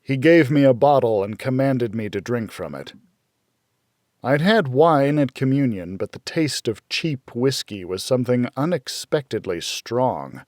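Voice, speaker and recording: A man reading a dark first-person thriller as an audiobook, in a measured, dramatic storytelling manner. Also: mid-range voice, expressive, no echo, studio quality. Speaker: audiobook narrator.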